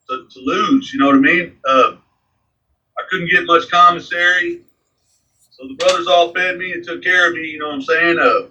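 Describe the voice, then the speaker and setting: A man's voice: a little high, bright, forceful tone, recorded from a distance, low-quality recording. A man in his forties, a guest in a casual interview, rambling with lots of fillers. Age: 40s. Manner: rambling, frequent fillers like "man" and "you know".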